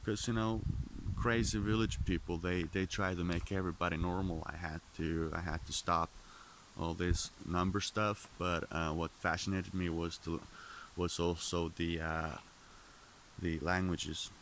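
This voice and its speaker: A man's voice: sits low, flat delivery, measured pace, fairly bright tone, slight background noise. A man rambling in a candid, profane monologue, full of restarts and detours, with Finnish as his first language. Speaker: vlogger.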